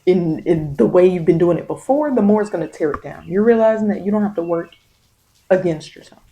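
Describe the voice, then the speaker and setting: A woman's voice: fairly low pitch, brisk, dark tone, rough recording. A woman tarot reader giving spiritual guidance in an informal, ASMR-leaning monologue, speaking straight to the listener with emphatic, repeated phrasing. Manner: repetitive, emphatic phrasing addressed directly to the listener; informal vernacular speech.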